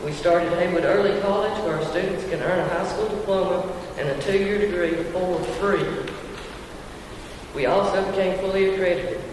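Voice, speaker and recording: A man giving a prepared candidate statement at a public forum, in a brisk, list-like delivery. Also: high-pitched, background noise. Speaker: county commissioner candidate. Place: large public meeting hall. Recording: echoey hall from far off.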